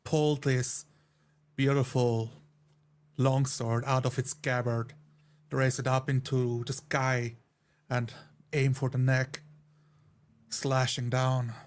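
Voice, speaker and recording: A man's voice: medium pitch, little pitch movement, slow pace, long pauses. A man playing a tabletop roleplay game, describing his character's actions.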